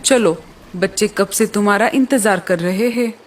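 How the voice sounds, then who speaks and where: A woman's voice: medium pitch, moderate pace, a little hiss or hum, natural voice. A woman voicing a kindly, reassuring male crow in a children's cartoon story.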